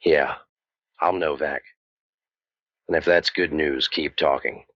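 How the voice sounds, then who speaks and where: An actor playing a cynical, wisecracking waterfront private eye, narrating old-time radio noir in a deadpan, world-weary manner. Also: male voice, extremely low pitch, takes it slowly, no room sound, muffled recording, heavily acted. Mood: sardonic. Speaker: narrator and lead detective.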